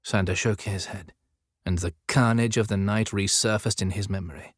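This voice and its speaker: A man narrating an audiobook story in a measured, descriptive storytelling style. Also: lowish voice, steady tone, no room sound, clean studio sound. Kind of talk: scripted dramatic storytelling narration.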